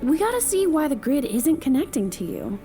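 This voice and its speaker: A woman voicing a brisk, blunt young doctor who speaks matter-of-factly. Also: high-pitched, very animated, over music.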